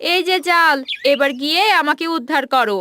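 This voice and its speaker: A woman's voice: sits high, normal speed, bright, forceful tone, slight background noise. A woman voicing a nagging, exasperated village housewife and mother in a cartoon fairy tale, scolding and complaining.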